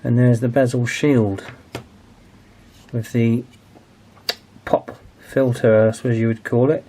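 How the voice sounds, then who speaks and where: A man's voice: mid-range voice, level tone, slow pace, mellow. A man in his forties narrating a hands-on repair casually, hesitant and thinking aloud as he goes. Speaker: video host, radio restoration hobbyist.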